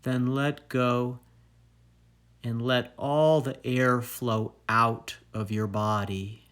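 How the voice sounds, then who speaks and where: A man leads a guided meditation at an extremely slow pace. His pitch sits in an average male range. He speaks calmly and soothingly, placing each phrase deliberately and leaving long pauses between them. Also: clear, resonant tone. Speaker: meditation guide and fitness trainer.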